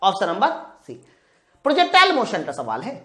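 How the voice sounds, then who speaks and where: A woman's voice: medium pitch, huge pitch swings, a little fast. A woman teaching an exam-prep lesson step by step, asking questions and answering them herself while addressing students informally. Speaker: physics teacher for exam preparation. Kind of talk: live lesson, working through practice questions step by step.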